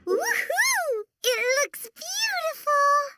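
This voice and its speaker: A woman voicing a tiny, cheerful, excitable childlike fairy companion in a video game, squeaky and bubbly. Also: extremely slow, full character voice. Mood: excited, cheerful. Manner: bubbly, exclaiming. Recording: music playing under the voice.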